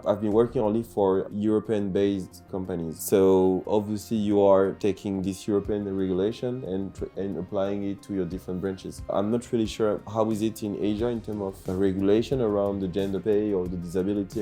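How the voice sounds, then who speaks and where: A man with a very dark, mellow voice talks as an interview guest. He speaks in a measured, hedging way and thinks aloud, with faint music in the background. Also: on the low side. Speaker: guest, HR professional. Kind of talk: casual interview conversation.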